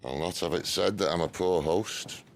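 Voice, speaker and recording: A roguish, middle-aged British rebel leader from a video game. His voice is extremely deep and full of gravelly creak, dry and sardonic in an easy conversational way. A low rumbling noise sits faintly behind him. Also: moderate pace. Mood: frank, persuasive. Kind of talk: video game cutscene acting.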